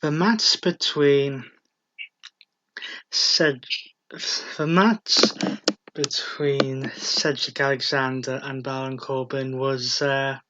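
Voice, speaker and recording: A man co-hosting a casual wrestling talk show, talking slowly with fillers and laughs. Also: mid-range voice, steady tone, sharp tone. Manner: slow, hesitant, with fillers and laughs. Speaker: podcast co-host. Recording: dull lo-fi home recording.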